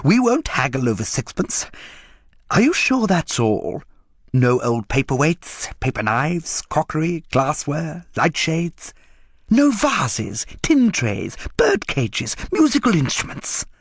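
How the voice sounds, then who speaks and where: Man doing a wheedling old junk peddler, high sing-song. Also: very animated, slow, breathy edge, dry recording, clean studio sound, full character voice. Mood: indulgent, coaxing. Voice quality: sibilant. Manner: coaxing, sing-song patter, rattling off lists. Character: wheedling old male door-to-door junk buyer.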